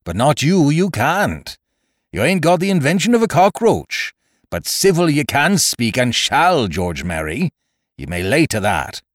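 A man voicing a cunning, domineering pirate sea-cook in an audiobook, with rough sailor dialect and a forceful, taunting manner. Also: lowish voice, very animated, slow, dry recording, studio quality. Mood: angry, defiant. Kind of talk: audiobook character voice.

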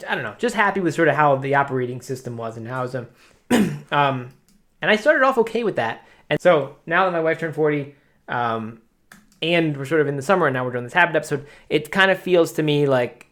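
A man's voice: on the high side, expressive, fairly quick, a bit bright. Rambling man in his late thirties. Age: 30s. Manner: rambling, self-deprecating, many fillers. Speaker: podcast co-host. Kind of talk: casual chat.

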